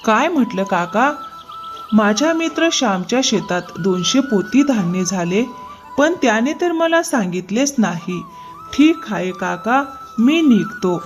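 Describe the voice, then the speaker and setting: A woman voicing an earnest, polite young male farmer in an animated moral story. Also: on the high side, a little slow, soft background music.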